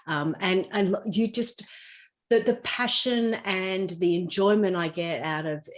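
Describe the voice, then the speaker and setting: A woman's voice: medium pitch, a little slow. A woman with an Australian accent giving chatty, earnest answers as a podcast guest, explaining things through everyday examples. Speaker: podcast guest, intuition teacher and digital marketer. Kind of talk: conversational interview answers.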